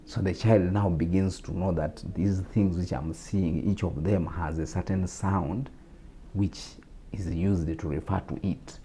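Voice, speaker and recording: A man's voice: low voice, steady tone, unhurried, dark tone, a little hiss or hum. A man from Uganda with a Ugandan English accent, a guest on a talk show, explaining steadily with repetitions and fillers.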